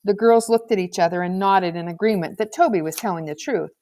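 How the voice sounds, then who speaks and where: A woman reading a children's novel aloud as scripted narration, in an expressive storybook manner. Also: medium pitch, a little fast. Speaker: audiobook reader.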